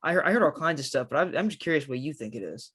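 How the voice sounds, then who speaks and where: A man's voice: fairly high pitch, expressive, racing, fairly bright tone, husky. A man hosting an interview, asking questions in a friendly, loose way with fillers. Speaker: interviewer, host.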